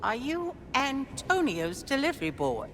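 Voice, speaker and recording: A woman's voice: sits high, huge pitch swings, a little slow, a bit bright, heavily acted. A woman playing a poised, intriguing nun in a cinematic video game. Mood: mysterious, teasing. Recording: long hall reverb, faint ambient noise.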